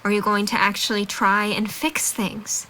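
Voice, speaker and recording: A woman's voice: medium pitch, level tone, moderate pace, bright, forceful tone, slight background noise, close to an everyday voice. A woman playing a candid, supportive young college student in an audio drama. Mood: earnest, candid.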